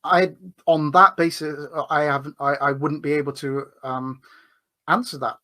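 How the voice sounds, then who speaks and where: A man's voice: fairly high pitch, level tone, moderate pace, sharp tone. A man arguing in a debate, hesitant, with many filler words and restarts. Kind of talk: debate argument.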